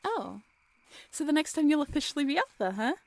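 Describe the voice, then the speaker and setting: A woman acting a cheerful, affectionate young woman in an intimate roleplay audio drama, with a playful, teasing, expressive delivery. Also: high-pitched, brisk, vocal fry, dry recording, heavily acted. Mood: chatty, amused.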